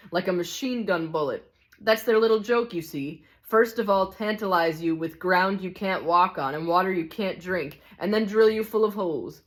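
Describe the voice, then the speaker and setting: A man's voice: high voice, a bit bright. A woman reading the part of a cynical, hard-bitten male ghost in a storybook, grumbling scornfully and insistently. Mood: gloomy, sardonic. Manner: scornful, insistent complaining with rhetorical questions.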